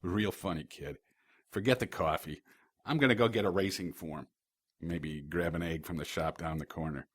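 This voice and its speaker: A man playing a gruff, sarcastic private detective boss from the 1930s in an audio drama. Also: low-pitched, normal speed, no room sound, clean studio sound.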